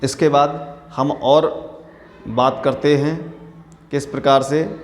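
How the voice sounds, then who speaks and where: A man presenting political news commentary in a deliberate, halting manner. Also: mid-range voice, fairly even intonation. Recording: echoey room with long reverb.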